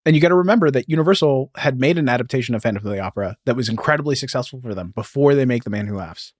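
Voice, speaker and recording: A man's voice: mid-range voice, animated, very fast, no echo, studio quality. A man hosting a podcast, telling a story in a casual, informal way peppered with "like" and "you know.". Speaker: podcast host.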